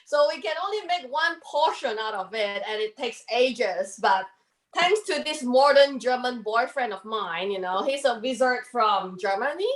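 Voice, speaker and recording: High-voiced woman, playful witch banter. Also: lively intonation, moderate pace, reverberant. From Malaysia. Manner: jokey, speaks as a witch with a cauldron. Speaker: Thermomix consultant. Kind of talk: playful witch-themed cooking demo. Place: home kitchen, on a video call. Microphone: video call.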